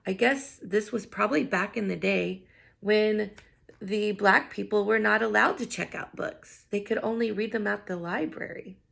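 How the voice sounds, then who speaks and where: A woman reading a picture book aloud to children in an expressive way, pausing to think out loud in wondering asides. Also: mid-range voice, creaky. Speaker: read-aloud teacher.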